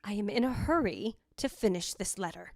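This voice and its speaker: An actress plays an imperious, nervy woman in an old-fashioned radio ghost story. Her medium-pitched voice moves in wide swings. The sound is dry. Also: expressive, normal speed, gravelly, a little mellow, no echo. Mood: clipped. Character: Caroline, tense, imperious sister hiding dread. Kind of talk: old-time radio drama acting.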